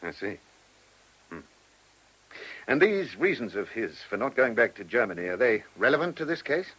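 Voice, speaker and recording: An actor playing a probing, polite but insistent chief inspector with a British accent and a husky voice in a radio play. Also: male voice, medium pitch, very animated, normal speed, fairly bright tone, poor audio. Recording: old radio drama recording.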